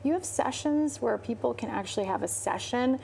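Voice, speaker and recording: A woman hosting a podcast, chatty and enthusiastic, heaping praise on her guest. Her voice has a gravelly, fry-edged texture at a middle pitch. A faint hiss of noise sits under the recording. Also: lively intonation, darkish tone. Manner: enthusiastic, quick to laugh and praise. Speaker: podcast host. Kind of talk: casual interview chat.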